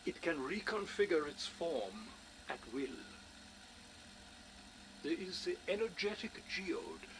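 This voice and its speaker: A male researcher narrating a scripted audio log in a measured, scholarly way. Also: on the high side, noisy background.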